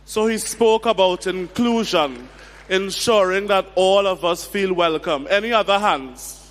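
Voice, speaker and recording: A man's voice: high-pitched, unhurried, fairly bright tone. A man chairing a youth session, prompting the audience in a conference hall. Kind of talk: moderating a youth panel. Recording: hall reverb.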